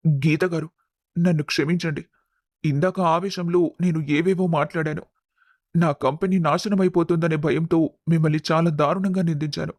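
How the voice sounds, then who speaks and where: Earnest young company boss, played by a man: slightly high voice, respectful, sincere, for a family drama. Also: steady tone, moderate pace, darkish tone, dry recording. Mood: remorseful. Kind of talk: dramatized family story voice acting.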